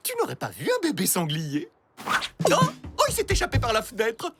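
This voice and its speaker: A woman playing an excitable, dramatic human mother in a cartoon. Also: a little high, brisk, loud music behind the voice. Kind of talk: French cartoon dubbing.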